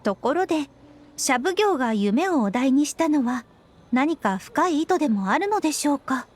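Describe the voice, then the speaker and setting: A woman's voice: sits high, sing-song. An actress playing a polite, shrewd young woman strategist in an anime game, speaking in a composed, analytical way. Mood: shrewd, suspicious. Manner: polite, measured wording, analytical. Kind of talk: Japanese anime game voice acting.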